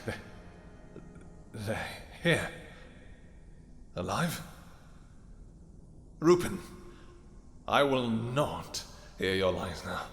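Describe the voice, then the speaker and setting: An actor playing a regal, possessive vampire king in a fantasy audio roleplay, with archaic, theatrical speech and halting pauses. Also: on the low side, a bit bright, full character voice. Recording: echoey hall reverb with music.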